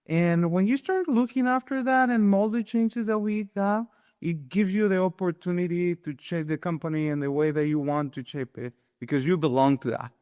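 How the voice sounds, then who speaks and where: Man from Mexico, 40s, Mexican Spanish accent; eager guest, smooth clear tone. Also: high-pitched, normal speed, a little mellow. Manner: eager, restarts often, occasional chuckle. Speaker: guest, supply chain executive. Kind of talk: conversational interview answers.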